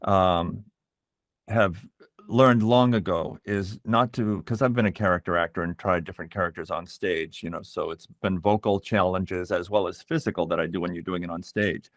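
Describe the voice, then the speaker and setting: Low-voiced middle-aged man, dry sound. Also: steady tone, normal speed, dry recording. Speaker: voice actor and teacher being interviewed. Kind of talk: reflective interview talk.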